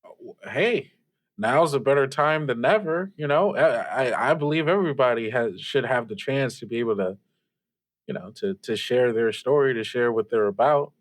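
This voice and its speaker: A man's voice: mid-range voice, expressive, moderate pace, lightly acted. Stammering, earnest radio host played by a man. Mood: encouraging. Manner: stammering, hesitant, self-effacing. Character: earnest, awkward young male radio host, easily flustered. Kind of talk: improvised audio drama, casual call-in chat.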